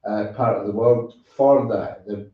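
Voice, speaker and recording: A distant, very echoey recording of a man with a low-pitched voice hosting a casual live show. He rambles and halts as he talks, and the sound is a little dull. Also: steady tone. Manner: rambling, halting. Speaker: host of a music show. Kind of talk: casual live sign-off chat. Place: room with echo, away from the mic.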